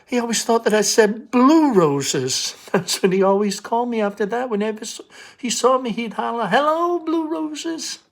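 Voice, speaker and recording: Man putting on a shy, high-pitched young woman. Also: animated, vocal fry, heavily acted. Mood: wistful. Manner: hesitant, halting, apologetic. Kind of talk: dramatic stage play reading.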